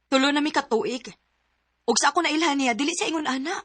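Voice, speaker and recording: A woman's voice: high voice, fairly quick, fairly bright tone, no room sound. An actress playing an anxious young woman in a strained romance, with an emotive, pleading delivery and a Cebuano Filipino accent, in a radio drama. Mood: troubled.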